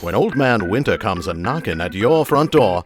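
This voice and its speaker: Grandiose low-voiced old-time radio announcer. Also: male voice, big pitch swings, normal speed. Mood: hearty selling. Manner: florid, alliterative, showy salesmanship. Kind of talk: 1930s-style radio serial announcing. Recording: music bed behind the announcer.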